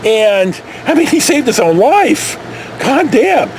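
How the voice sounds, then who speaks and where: Male American vlog storyteller, lively intonation, high register, folksy and digressive. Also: takes it slowly, slight background noise. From California. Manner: folksy, mildly profane, digressive. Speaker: storytelling vlogger. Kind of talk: casual rambling anecdote.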